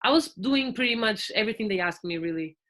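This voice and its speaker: A young Portuguese woman in her twenties talks as a podcast guest, her accent plain and her voice bright and forward. She chats loosely, leaning on fillers and restarting her sentences. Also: mid-range voice, animated, fast. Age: 20s. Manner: chatty, with frequent fillers and restarts. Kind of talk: casual podcast interview.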